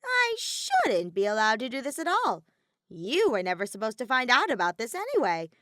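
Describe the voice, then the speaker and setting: A woman's voice: a little high, wildly swooping pitch, moderate pace, pushed and bright, no room sound, clean studio sound, voice pushed up, heavily acted. A woman voicing a mischievous, flippant robotic bear villain in a dramatic audiobook reading, theatrical, grumbling and cartoonish. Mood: dejected. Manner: matter-of-fact, theatrical, grumbling.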